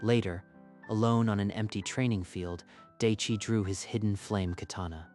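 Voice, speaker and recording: A man's voice: fairly low pitch, fairly even intonation, moderate pace, a little mellow, faint music behind. A man narrating a scripted story in a dramatic style.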